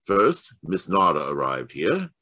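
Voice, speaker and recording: Guy acting old radio mystery detective, lowish voice, really animated, stammery and formal, dry sound. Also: dry recording, heavily acted. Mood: deliberate, authoritative. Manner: halting, stammering, then deliberate and formal. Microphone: home microphone on a video call.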